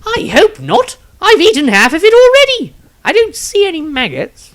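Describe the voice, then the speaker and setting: Very high, foppish silly nobleman. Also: huge pitch swings, moderate pace, creaky, fairly bright tone, exaggerated voice. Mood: oblivious. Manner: airy, polite, naive.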